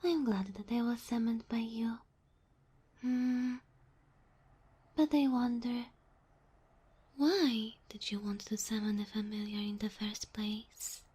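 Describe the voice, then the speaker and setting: A sweet, bashful kitsune girl, played by a woman for an ASMR roleplay. Her voice is high and very breathy, often sinking into a whisper. She speaks slowly and gently, with shy, hesitant, submissive warmth. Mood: tender, curious. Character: sweet, shy, submissive young female kitsune fox spirit familiar.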